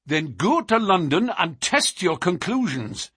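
Storybook young woman, done by a man. Raised pitch, brisk, challenging, sharp-tempered, strong character. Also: big pitch swings, breathy edge, dry recording, studio quality, exaggerated voice. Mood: impatient, tart.

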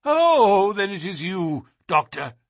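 A man's voice: a little high, normal speed, breathy edge, no echo, exaggerated voice. Man putting on a reedy, quivering, nervous fat man. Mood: frightened. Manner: stammering, anxious, excitable. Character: nervous, jittery fat man, fearful and suspicious. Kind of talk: audiobook character voice.

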